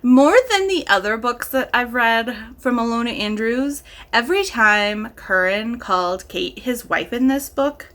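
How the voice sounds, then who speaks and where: Higher pitched lady vlogger, bright crisp tone, gushy and super enthusiastic, just chatting. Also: expressive, measured pace, hardly any pauses. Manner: gushing, enthusiastic, emphatic. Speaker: book reviewer vlogger. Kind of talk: casual chat to camera.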